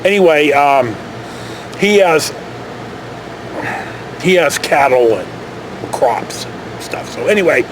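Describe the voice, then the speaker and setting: An American man with a notably high voice spins a casual, rambling story. His pitch swings widely as he wanders off on folksy tangents and drops in mild swearing. Clear background noise sits behind him. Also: lively intonation, takes it slowly, long pauses. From California. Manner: folksy, mildly profane, digressive. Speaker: storytelling vlogger.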